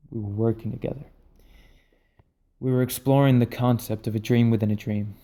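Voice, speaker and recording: A man playing a haunted, intense leader of dream thieves in an audio drama, speaking in a brooding, deliberate way. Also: mid-range voice, fairly even intonation, dark tone, lightly acted. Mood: haunted, regretful.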